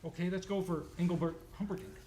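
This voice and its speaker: A man's voice: high-pitched, fairly even intonation, quick pace, mellow. A man hosting a live old-time radio show, announcing from a script in a theatrical, playfully comic way on a theater stage. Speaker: series host and announcer. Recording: clearly noisy live stage recording.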